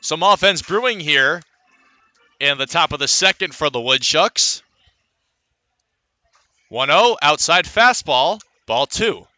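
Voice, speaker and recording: Bright, forceful male baseball announcer. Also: on the high side, lively intonation, takes it slowly, long pauses, no echo. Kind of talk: live radio sports commentary.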